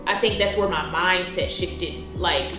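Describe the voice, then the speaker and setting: An American woman in her thirties talks casually, as if with a close friend. She leans hard on her points and repeats phrases for emphasis. The room rings around her voice, and music plays clearly underneath. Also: fairly low pitch, steady tone, unhurried, echoey room. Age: 30s. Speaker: host. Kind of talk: casual chat between friends.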